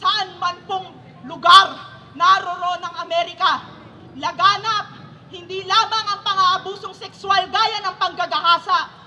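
Sharp, very high Filipino woman protest speaker. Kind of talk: rally speech. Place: outdoor protest rally stage. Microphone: handheld microphone through PA. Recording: muffled rally PA with faint noise.

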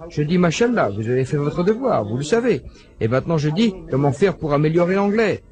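A man voicing a lecture in a translated voice-over, telling anecdotes with animated delivery and acting out the quoted dialogue. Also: fairly high pitch, a little fast, a crowd in the background.

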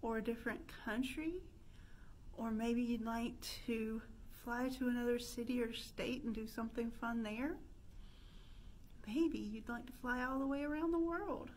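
Female children's storyteller, high voice, unhurried sing-song delivery, questions to little listeners. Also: a little mellow, slight background noise, poor audio. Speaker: children's librarian hosting storytime. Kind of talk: children's storytime, interactive pretend play. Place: indoor room with stone fireplace.

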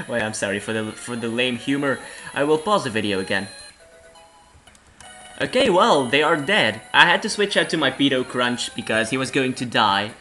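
A young man from Belgium with a cold and a hoarse voice, rambling through casual let's-play game commentary from home and laughing at his own jokes. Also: mid-range voice, very animated, normal speed, bright, forceful tone, soft background music. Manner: rambling, self-deprecating, laughs often.

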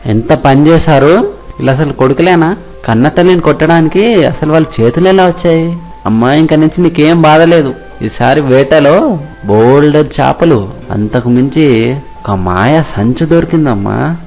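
A man's voice: fairly high pitch, moderate pace, a little mellow, faint music behind, close to an everyday voice. A man playing a devoted, generous young fisherman with an earnest, caring manner in an acted moral story. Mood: indignant.

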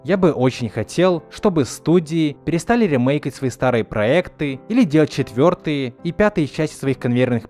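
A man's voice: a little high, a little mellow. A man narrating a scripted video essay as a reviewer, speaking in an earnest, opinionated way. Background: background music.

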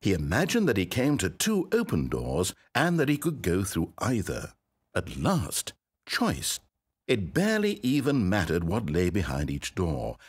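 An actor playing an omniscient game narrator, wry and theatrical. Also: male voice, full character voice. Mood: storytelling. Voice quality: crisp measured delivery, very animated pitch. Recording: dry close studio voice.

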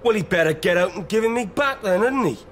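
A man's voice: sits high, lively intonation, fast talker, slight background noise. A young man acting a rebellious British teenage boy just out of jail in a radio drama. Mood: angry, sarcastic.

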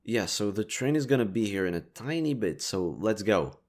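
A man playing a kind, reassuring young stranger in an intimate audio roleplay, speaking gently, considerately and a little hesitantly. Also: on the low side, lively intonation, moderate pace, close to an everyday voice. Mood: considerate, explaining. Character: kind, reassuring young male stranger helping a distressed girl.

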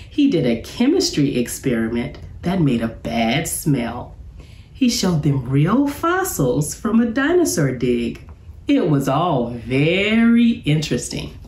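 A middle-aged woman, a teacher, reading aloud to children in an expressive, deliberate storytelling way. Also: extremely low pitch. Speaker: school teacher reading to pupils. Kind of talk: animated children's read-aloud. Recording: roomy, echoing indoor sound.

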